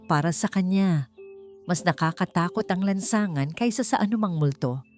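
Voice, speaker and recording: A woman narrating a dramatic story in an expressive, suspenseful storytelling manner. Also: on the low side, normal speed, faint music behind.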